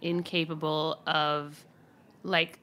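A woman's voice: sits low, little pitch movement, takes it slowly, a bit bright, slight background noise. A woman co-hosting a podcast in casual comedic chat, with a dry, blunt, sardonic way of talking. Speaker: podcast co-host.

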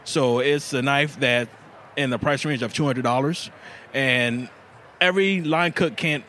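A man's voice: medium pitch, measured pace, pushed and bright, a little hiss or hum. Earnest knife maker explaining his craft. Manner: earnest, explaining step by step with fillers. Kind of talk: casual interview at a festival. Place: outdoor festival table.

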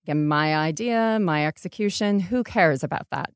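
A woman's voice: lowish voice, normal speed, smooth, dry recording, studio quality. A woman from New York talking candidly as a startup founder being interviewed, telling things emphatically and acting out little quotes. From New York, United States.

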